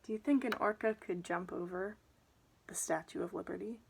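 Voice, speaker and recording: A woman's voice: lowish voice, moderate pace, creaky, darkish tone, slight background noise. A young woman reading a children's picture book aloud, with chatty asides to her listeners. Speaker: storybook reader.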